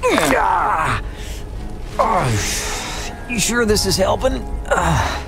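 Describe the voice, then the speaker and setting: A hurt cowboy gritting it out, slow talk, music behind, game scene. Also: male voice, sits high, sing-song, creaky, full character voice. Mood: pained, guarded. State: in pain. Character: injured, stoic, guarded cowboy. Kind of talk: video game cutscene acting.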